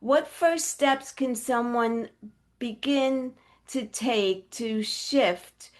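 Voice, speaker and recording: A woman's voice: on the high side, extremely slow. A woman hosting a podcast interview, encouraging her guest and asking open questions. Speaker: podcast host.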